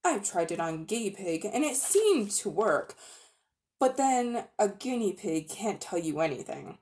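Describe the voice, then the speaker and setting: A woman's voice: mid-range voice, big pitch swings, a little slow, a little mellow. A woman voicing a scheming, falsely friendly old uncle who is a magician, in a storybook reading. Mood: sly.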